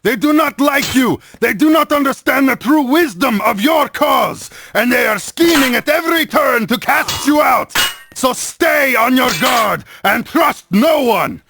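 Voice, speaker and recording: An actor playing a brutal, paranoid knight commander in a video game, haranguing his troops in a gravelly voice. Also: extremely high pitch, animated, unhurried, raised voice, music in the background, full character voice. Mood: fierce, haranguing. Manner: barking, haranguing. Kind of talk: scripted game cutscene speech.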